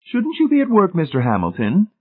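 Guy doing a fussy sanctimonious older lady for an audiobook, high voice, real swoopy and genteel. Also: huge pitch swings, a little fast, husky, narrow, tinny audio, heavily acted. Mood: gushing. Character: prim, sanctimonious, gullible older woman. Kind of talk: audiobook character voice.

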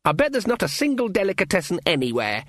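A man's voice: high voice, huge pitch swings, fast, fairly bright tone, no echo, clean studio sound, voice pushed up, full character voice. A man voicing a young, nervous, fussy academic wizard with a querulous manner in a storybook. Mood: dismayed.

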